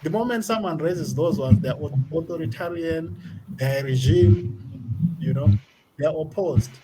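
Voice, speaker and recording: Male panelist, argumentative and rhetorical, fairly high voice, heavy background noise. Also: measured pace. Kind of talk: panel discussion.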